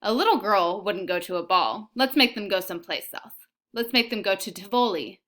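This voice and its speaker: A lively, helpful girl from a storybook, read by a woman. The voice is bright and forward, with a playful, slightly theatrical temper. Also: mid-range voice, moderate pace, natural voice.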